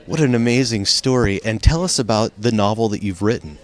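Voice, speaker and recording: A man's voice: lowish voice, brisk. A man hosting an interview, enthusiastic and complimentary, sometimes tripping over his words. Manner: enthusiastic, complimentary, with some slips. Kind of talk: interview host.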